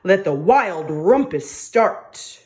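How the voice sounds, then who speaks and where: A woman reading as a bold, commanding little boy in a storybook, with emphatic, drawn-out orders. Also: lowish voice, animated, a little mellow. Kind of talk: storybook read-aloud.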